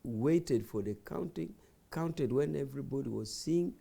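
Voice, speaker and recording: Insistent man with a dark voice. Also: mid-range voice, expressive, unhurried, creaky, breathy edge. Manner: insistent, lecturing, repeats phrases. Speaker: party official guest. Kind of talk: talk show debate.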